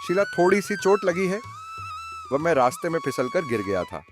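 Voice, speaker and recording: A man's voice: high-pitched, wildly swooping pitch, moderate pace, over music. A man voicing a shrewd farmer husband in a moral story cartoon, theatrical and plaintive. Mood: reassuring, plaintive. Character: shrewd farmer husband feigning injury, patient.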